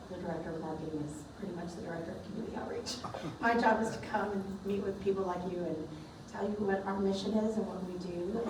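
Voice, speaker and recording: A woman with a slightly low, raspy voice talks casually to a club audience. She is picked up from some distance in a very echoey meeting room. Steady background noise runs under her voice. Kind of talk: casual presentation.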